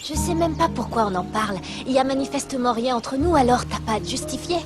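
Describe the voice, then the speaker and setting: An actress playing a teenage girl who trains horses, gentle but guarded. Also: sits high, lively intonation, fast talker, over music. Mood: curt.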